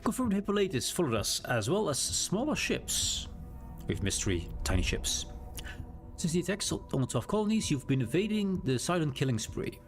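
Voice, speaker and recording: A man's voice: fairly high pitch, very animated, moderate pace, soft background music. A Dutch man with a Dutch accent, whose first language is Dutch, streaming a game live, chatting casually and reading the game's text aloud as he plays. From Netherlands. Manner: chatty, reads game text aloud, thinks out loud with restarts. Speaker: gaming streamer. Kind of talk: live gameplay commentary.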